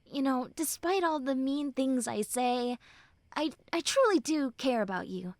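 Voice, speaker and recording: Tsundere girl friend, played by a woman: high register, unhurried, halting, bashful but caring; intimate roleplay audio. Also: expressive, no room sound. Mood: tender, reassuring. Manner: halting, bashful, earnest, soft and breathy when consoling. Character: mean tsundere young woman turned tender, caring childhood friend. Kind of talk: intimate roleplay audio drama, spoken to the listener.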